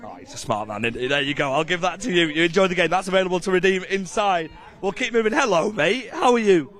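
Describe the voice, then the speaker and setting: A man's voice: high-pitched, expressive, fast talker, fairly bright tone. A man working as a roving presenter, chatting directly and upbeat with fans in live outdoor interviews. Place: outdoors outside a stadium.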